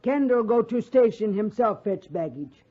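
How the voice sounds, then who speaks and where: A woman's voice: mid-range voice, expressive, moderate pace, natural voice. Woman acting a stern, scolding frontier wife. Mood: stern, warning. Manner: clipped broken English, bossy. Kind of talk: 1950s radio Western acting. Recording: muffled 1950s radio recording.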